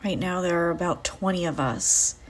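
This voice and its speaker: A woman leading a guided visualization in a soft, breathy, soothing voice, leaving long pauses between phrases. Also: on the low side, a little hiss or hum. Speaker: guided meditation host. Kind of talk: guided visualization meditation, first-person.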